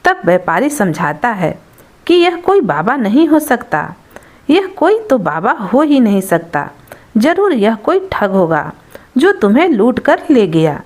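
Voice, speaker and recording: A woman's voice: a little high, big pitch swings, measured pace. A woman narrating a scripted folktale as a storyteller.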